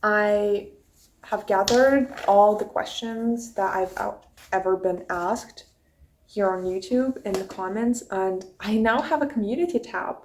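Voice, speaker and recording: A woman's voice: medium pitch, measured pace, a little mellow, a little hiss or hum, low-quality recording. A young woman from Russia with a Russian accent, her first language Russian, chatting casually to her phone camera as she answers viewer questions from her notes. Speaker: YouTuber. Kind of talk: casual get-to-know-me chat.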